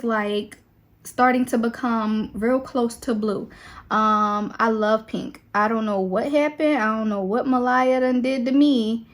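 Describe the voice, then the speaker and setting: A young woman talks to her viewers in a loose, relaxed way. Her voice sits a little on the high side. She fills gaps with easy fillers as she chats while doing her makeup. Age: 20s. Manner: chatty and relaxed, with many fillers. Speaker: beauty vlogger.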